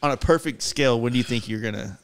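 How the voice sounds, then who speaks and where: Male podcast host, around 40, medium pitch, loose profane conversational delivery, thinks out loud. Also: fast talker. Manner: profane, rambling, self-interrupting. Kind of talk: casual chat. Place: garage workshop, indoors. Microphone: podcast microphone on boom arm, close.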